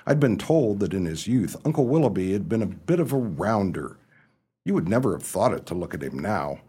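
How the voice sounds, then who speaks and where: A man playing a genial, dithering young upper-class gentleman in a radio play, who narrates and stammers when pressed. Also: deep voice, sing-song, fairly quick, breathy edge, exaggerated voice. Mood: wry, reflective. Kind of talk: radio play acting with first-person narration.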